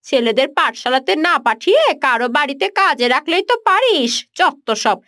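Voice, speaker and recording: A high, swooping voice as a haughty rich village woman in a cartoon. She scolds sharply and scornfully, bossing everyone around, recorded close and dry. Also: expressive, brisk, sharp tone, no echo. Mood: scornful, angry. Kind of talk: Bengali moral-story cartoon dubbing.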